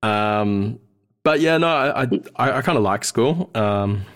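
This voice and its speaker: A man's voice: mid-range voice, lively intonation, unhurried, a bit bright. An Australian man in his thirties chatting casually as a podcast guest, hesitant and searching for his words. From Australia, born in New Zealand. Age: 30s. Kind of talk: casual interview chat.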